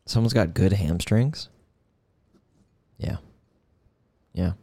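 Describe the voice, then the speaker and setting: A young man co-hosting a podcast, tossing in short, deadpan quips. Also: deep voice, very slow, creaky, slightly rough, dry recording. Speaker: podcast co-host. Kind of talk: casual comedic chat.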